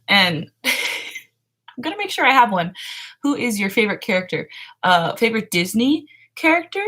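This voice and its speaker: A woman's voice: mid-range voice, fairly bright tone. A young woman in her twenties chatting casually on a morning livestream from her bedroom, laughing often and trailing off with fillers. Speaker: livestream host. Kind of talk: casual chat with chat readers. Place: small home bedroom, indoors. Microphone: webcam framing at desk.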